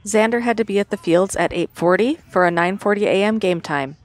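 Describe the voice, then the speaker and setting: A woman's voice: medium pitch, fairly quick. Woman narrating a family vlog. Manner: even, matter-of-fact storytelling. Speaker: vlogger narrating her weekend. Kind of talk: scripted voice-over narration.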